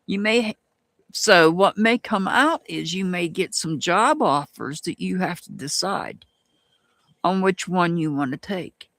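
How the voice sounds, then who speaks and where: Tarot reader, Southern US accent, very slow delivery, frequent restarts, dry close sound. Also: medium pitch, fairly bright tone, no echo. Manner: slow, musing, restarts often. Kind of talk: live-stream tarot reading, answering chat.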